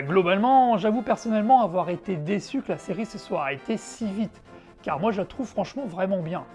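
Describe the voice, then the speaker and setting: A woman's voice: medium pitch, darkish tone. A woman hosting a retro game show, narrating a review in a frank, conversational way with casual asides. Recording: background music under the voice.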